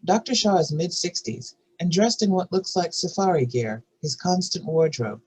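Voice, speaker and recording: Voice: mid-range voice, moderate pace, darkish tone, rough recording. A narrator reading script stage directions in a scripted narration style. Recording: faint background noise.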